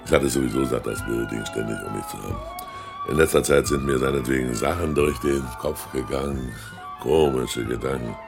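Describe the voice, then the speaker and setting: A man's voice: a bass voice, wildly swooping pitch, normal speed, music in the background, exaggerated voice. An actor playing a chummy, haggling old carnival showman in a radio play, folksy and sly. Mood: weary, relieved.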